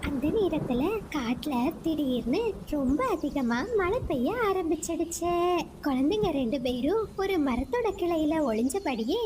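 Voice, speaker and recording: A woman narrates for young children as a storyteller. Her voice is strikingly high, and the delivery is scripted. There is faint background noise. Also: expressive, unhurried.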